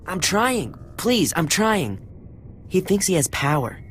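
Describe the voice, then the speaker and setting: A woman's voice: on the low side, big pitch swings, slow pace, slight background noise. A woman playing a frightened captive interpreter in a video game, hesitant, earnest and pleading. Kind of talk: dramatic video game acting.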